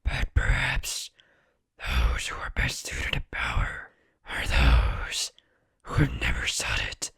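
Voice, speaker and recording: A man voicing an ancient, proud fox spirit in a breathy whisper, speaking solemnly and slowly over music. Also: mid-range voice, huge pitch swings, a bit bright, pitched above the performer's own voice, exaggerated voice. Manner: slow, solemn speechifying.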